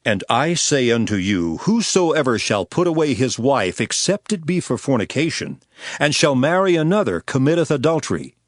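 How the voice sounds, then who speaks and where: A man playing a grave, earnest male teacher in a dramatized scripture reading, with solemn, emphatic, measured oratory. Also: medium pitch, very animated, no room sound, studio quality, lightly acted. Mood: firm teaching.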